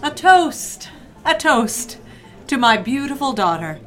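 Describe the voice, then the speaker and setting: A woman acts out a doting middle-aged society lady in an audio drama, her voice set a little high. She gushes and exclaims with genteel fondness, over a faint music bed. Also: sing-song, slow, heavily acted. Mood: gushing pride. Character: doting, effusive mother of the bride, society lady.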